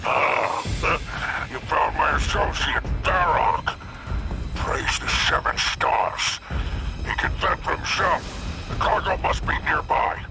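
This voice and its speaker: An actor playing a wily, theatrical alien salvager in a video game, with a gravelly voice and florid, grandiose dealmaker phrasing. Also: male voice, fairly high pitch, slow, rough recording. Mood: florid, scheming. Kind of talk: video game character acting. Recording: loud music behind the voice. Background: loud game music.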